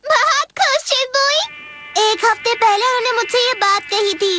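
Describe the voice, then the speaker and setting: Very high-pitched teenage schoolgirl. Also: moderate pace, loud music behind the voice. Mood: pleased. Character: spirited young teenage schoolgirl. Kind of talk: Hindi cartoon dubbing.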